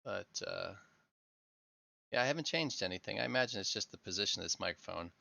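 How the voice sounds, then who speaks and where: A man with a croaky voice hosting a casual live game stream, chatting and laughing easily as he builds. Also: lowish voice, fairly quick, no echo. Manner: relaxed, laughing easily while narrating his building. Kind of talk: casual live gameplay chat. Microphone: headset microphone. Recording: dull headset mic sound.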